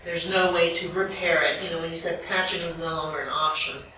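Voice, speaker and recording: An American woman in the audience asking a long, spontaneous question in a halting, deliberate way. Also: lowish voice, steady tone, bright, forceful tone. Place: echoey lecture room. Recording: very echoey room, distant mic, faint noise.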